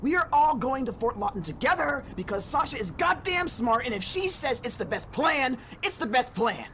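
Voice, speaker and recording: A woman's voice: sits high, sing-song, normal speed, a bit bright, heavily acted. A woman playing a fiery, protective young boy in an audio drama, brash and indignant. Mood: furious. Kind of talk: amateur audio drama acting. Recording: faint background noise.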